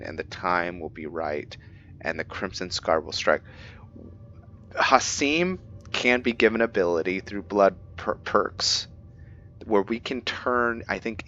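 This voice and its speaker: A man playing a roleplay game who reads in-game journals aloud haltingly and keeps correcting himself. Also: deep voice, sharp tone, highs cut off, a little hiss or hum. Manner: halting reading with frequent self-corrections. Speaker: gamer playing and narrating.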